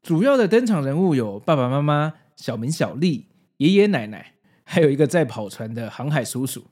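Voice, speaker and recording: A man's voice: mid-range voice, sing-song, moderate pace, darkish tone. A man with a Taiwanese Mandarin accent hosting a scripted, nostalgic retrospective in an explanatory, conversational way. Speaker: host of a retro pop-culture video series.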